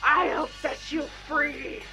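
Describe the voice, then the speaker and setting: A woman playing a menacing ghost double in an audio drama, slow, insistent and commanding. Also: sits high, steady tone, vocal fry, sharp tone, soft background music, full character voice, rough recording. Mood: threatening. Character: menacing female ghost double demanding release.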